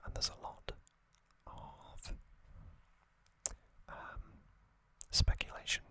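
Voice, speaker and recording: An ASMR host whispering casual trivia, hushed and unvoiced, musing with frequent ums. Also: drawn-out words.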